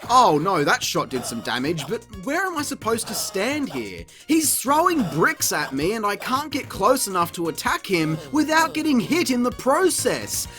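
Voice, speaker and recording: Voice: medium pitch, very animated, normal speed, few pauses, pushed and bright, soft background music. A game reviewer narrating a scripted comedic review in an exasperated, sarcastic, sweary ranting manner. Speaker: video game reviewer, channel host.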